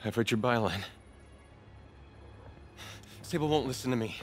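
A man's voice: mid-range voice, moderate pace, a little hiss or hum, natural voice. An actor playing a frightened but defiant male informant in a video game drama, wary and agitated.

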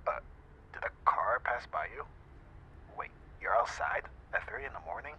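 A man acts out an easygoing young guy in an intimate audio roleplay. His voice sits fairly low, and he chats casually and teasingly, like a devoted best friend up late. Faint background noise runs under the voice. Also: lively intonation, moderate pace, bright, forceful tone, lo-fi sound, full character voice. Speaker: ASMR roleplay voice actor. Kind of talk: ASMR boyfriend roleplay, casual intimate chat.

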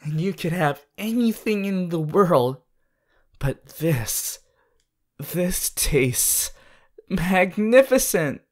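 An affectionate teenage anime boy played by a woman speaks straight to the listener in an ASMR roleplay. The delivery is slow and halting, with widely swinging pitch on a fairly low voice. He is gushing, eager and playful. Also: expressive, heavily acted. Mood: eager, coaxing. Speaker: voice actor in a fan character audio.

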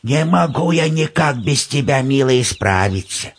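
A man voicing a kindly old peasant grandfather in a children's cartoon, coaxing in a folksy, drawn-out way. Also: medium pitch, animated, noisy background. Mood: tender.

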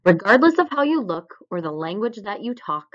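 A woman's voice: medium pitch, sing-song, fairly quick, natural voice. A woman voicing a great, wise, encouraging bison leader in a children's storybook. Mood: encouraging, solemn. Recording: dull, lo-fi recording.

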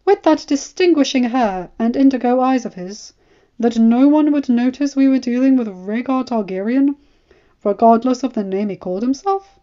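Scheming male spymaster, played by a woman, high voice, knowing, indulgent, formal, fantasy storybook. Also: normal speed, dark tone, lo-fi sound, lightly acted. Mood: knowing, insistent. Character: shrewd, smooth, scheming male royal spymaster.

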